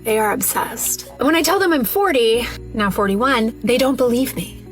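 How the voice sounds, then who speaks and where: A woman in her early forties with a fairly high voice shares a casual personal monologue. She is wry and exasperated, leaning hard on key words, with faint music underneath. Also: animated, normal speed. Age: early 40s. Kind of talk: casual confessional monologue to camera.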